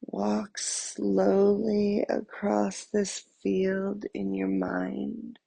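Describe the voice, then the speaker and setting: A woman narrates a guided meditation in a soft, mellow voice with heavy creak at the edges. Her delivery is even and soothing, with long pauses between short phrases. The sound is husky and gentle throughout. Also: medium pitch, no echo, low-quality recording.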